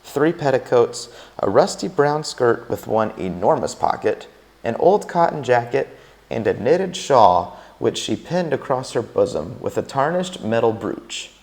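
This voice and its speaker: A man narrating a chapter of a novel aloud in an expressive, unhurried read-aloud style. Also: mid-range voice. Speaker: teacher reading a novel aloud.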